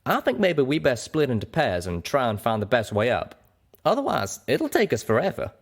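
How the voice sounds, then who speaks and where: A man's voice: mid-range voice, wildly swooping pitch, moderate pace, pitched above the performer's own voice. Brash pegasus scavenger for fantasy audiobook, played by a man: folksy country drawl, swinging intonation. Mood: wary, practical.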